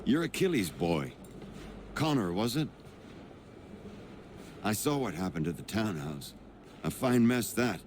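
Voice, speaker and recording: An actor playing a genial, self-assured colonial gentleman in a video game, wry and articulate, with ambient noise behind. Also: on the low side, big pitch swings, a little slow, pauses often. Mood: wry, courteous. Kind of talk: video game cutscene acting.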